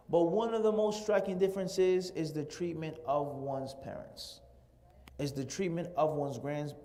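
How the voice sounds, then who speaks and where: A man delivers a lecture-style sermon in a large Islamic center hall, with a voice sitting a little higher than most men's. He builds his points rhetorically, piling word on word in long lists. The sound carries a long hall reverb. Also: dark tone. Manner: rhetorical, piles up lists of words.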